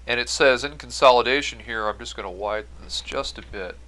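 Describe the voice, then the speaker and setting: A man's voice: mid-range voice, fairly quick, sharp tone. A man giving an explanatory accounting-style lecture as an instructor, going step by step and stressing key phrases. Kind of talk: explanatory lecture over on-screen notes.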